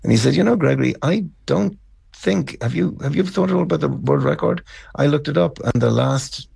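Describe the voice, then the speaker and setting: A middle-aged or older Irish man telling stories as a musician being interviewed, reflective and somewhat halting. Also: on the high side, animated, vocal fry, darkish tone, husky, dry recording. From County Cavan, Ireland. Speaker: interview guest, band musician. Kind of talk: conversational storytelling interview.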